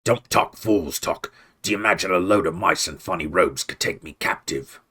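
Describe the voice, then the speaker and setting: A man's voice: a bass voice, unhurried, bright, forceful tone, slightly rough, exaggerated voice. A man voicing a scornful rat warlord. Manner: contemptuous, growling. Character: menacing one-eyed rat warlord, scornful.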